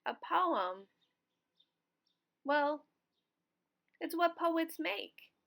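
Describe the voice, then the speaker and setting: A woman's voice: very high-pitched, lively intonation, drawn-out words, creaky, a bit bright, pitched above the performer's own voice, heavily acted. Woman reading as a hesitant old grandfather. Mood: worried, puzzled. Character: worried, hesitant old grandfather who writes poems. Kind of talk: children's storybook character.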